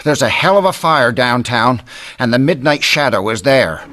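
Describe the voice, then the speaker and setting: An actor playing an anxious, grumbling police sidekick in an old-time radio mystery. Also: male voice, mid-range voice, expressive, moderate pace, lightly acted. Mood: urgent.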